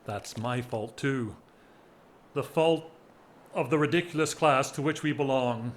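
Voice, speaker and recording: An actor playing a weary, lovelorn upper-class gentleman in a period audio drama, speaking with formal, wistful wryness. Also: medium pitch, lightly acted. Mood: wry, world-weary. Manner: formal, wistful, wry, measured speech. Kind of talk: stage-style period radio drama acting.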